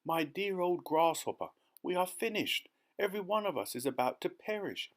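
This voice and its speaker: A man's voice: high-pitched, lively intonation, normal speed, pitched above the performer's own voice. Storybook earthworm, played by a man: male, gloomy, complaining, certain of doom. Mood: despairing, indignant.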